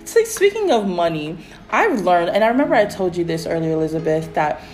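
A woman hosting a casual faith podcast, with a raspy voice and a rambling manner full of fillers. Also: lowish voice, a little fast, rough recording. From Pacific Islands. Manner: rambling, many fillers, animated when excited. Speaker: podcast host.

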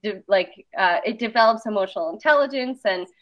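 A woman chatting casually about creative work, talkative and animated in her storytelling. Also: mid-range voice, a little fast. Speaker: artist and interviewer. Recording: dull lo-fi sound.

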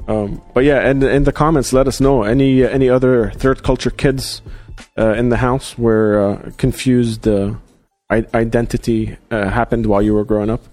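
A man's voice: medium pitch, fairly even intonation, moderate pace, a little mellow. A man who grew up in Australia, hosting a casual livestream chat, talking loosely with plenty of fillers and restarts. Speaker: host.

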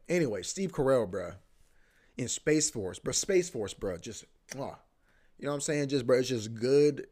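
A young man talks casually on his podcast, loose and profane. He piles on filler words, repeats phrases and restarts his sentences. His voice sits at an ordinary male pitch. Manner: loose and profane, heavy filler like "bro" and "you know what I mean"; repeats himself, often restarts. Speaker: podcast host. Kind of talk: casual rambling monologue.

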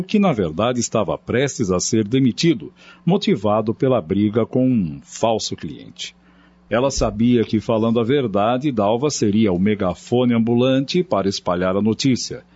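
A man's voice: on the low side, darkish tone, husky. A man narrating a scripted radio drama. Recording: dull lo-fi audio, music underneath.

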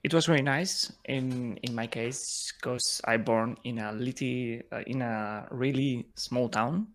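Halting man. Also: mid-range voice. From Ukraine. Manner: halting, repeats words as he thinks. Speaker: guest, software engineer. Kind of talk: casual interview chat.

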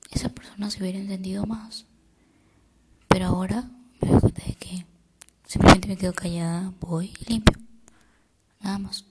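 A young woman giving calm, reflective life advice in a soft ASMR-style whisper. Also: medium pitch, normal speed, pauses often, noisy background.

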